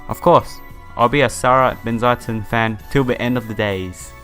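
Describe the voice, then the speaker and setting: Male let's play host, medium pitch, informal viewer-facing commentary and read-aloud, game music underneath. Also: fairly even intonation, normal speed, a bit bright. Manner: informal, addresses viewers directly. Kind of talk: casual video game playthrough commentary, reading story text aloud. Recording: music playing behind the voice.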